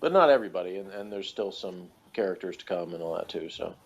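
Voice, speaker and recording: A man's voice: sits low, lively intonation, fast talker, gravelly. A man in casual analytical chat, talking in a hesitant, tentative way as he thinks aloud. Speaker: guest reviewer discussing TV characters. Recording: dull lo-fi voice recording.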